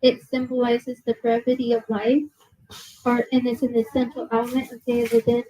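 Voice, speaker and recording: A young woman giving a nervous museum presentation to an audience, reading from notes with many fillers and apologies, her soft low voice held away from a handheld mic indoors. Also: monotone, a little fast, slightly rough, low-quality recording. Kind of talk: reading prepared talk to a live audience. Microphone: handheld mic held at a distance.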